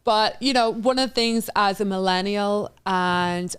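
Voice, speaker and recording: A woman in her forties from Ireland with an Irish accent, hosting a casual chat, talkative and quick to laugh at herself. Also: mid-range voice, smooth. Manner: chatty, laughs easily, self-deprecating. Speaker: host.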